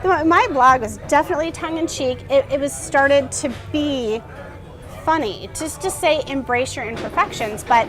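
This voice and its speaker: A woman's voice: sits high, moderate pace, over music. A middle-aged woman interviewing a guest in a casual chat. She talks earnestly and restarts her sentences often. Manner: earnest, animated, many restarts. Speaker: interviewer and blogger.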